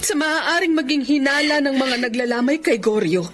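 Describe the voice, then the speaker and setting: A woman's voice: high-pitched, normal speed, heavy background noise, natural voice. An actress playing a remorseful, weak-willed middle-aged mother who pleads, in an emotional radio drama. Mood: anxious. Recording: music bed under voice.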